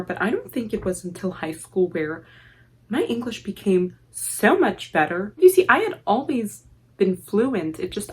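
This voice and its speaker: A woman's voice: mid-range voice, a little mellow, slightly rough, poor audio. A young woman with an Armenian accent telling a personal story in a casual vlog, choosing formal, elaborate words but often letting them tumble out impulsively, with the odd "like". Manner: formal, elaborate diction with filler "like". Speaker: vlogger.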